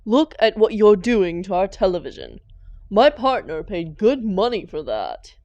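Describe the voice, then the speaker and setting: A sardonic female supervillain acted by a woman in a comic audio drama, dramatic and hot-tempered yet devoted to her partner. Her pitch is medium and her pace unhurried. The sound is close and dry. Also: very animated, darkish tone, no echo, dull audio. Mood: sarcastic, irritated. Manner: sarcastic, dramatic, affectionate with partner.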